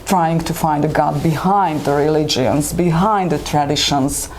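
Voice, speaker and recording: A woman from Slovakia whose first language is Hungarian, a guest poet answering interview questions with many pauses and restarts. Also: low voice, darkish tone. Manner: hesitant, many fillers and restarts. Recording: noisy room ambience.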